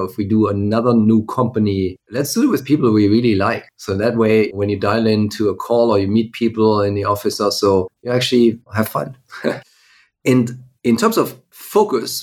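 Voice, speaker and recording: A man giving conversational, explanatory answers as an interview guest. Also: fairly low pitch. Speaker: guest, tech company CEO.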